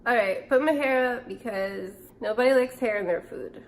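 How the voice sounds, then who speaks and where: A woman's voice: on the high side, moderate pace, a little hiss or hum, poor audio. A woman in her twenties with a stuffy, nasal voice from a cold, chatting casually and thinking aloud as she cooks in her home kitchen. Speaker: cooking vlogger. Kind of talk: casual cooking vlog. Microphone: camera or phone close up.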